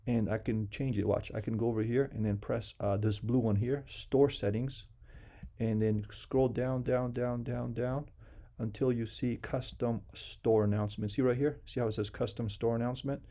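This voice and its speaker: A man walks through software settings in an informal, unscripted way. His voice is mid-pitched and relaxed, with a noticeable creak. He explains each step simply and often repeats himself. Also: moderate pace. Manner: simple, repetitive step-by-step instruction. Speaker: software tutorial presenter. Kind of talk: casual unscripted screen-share walkthrough.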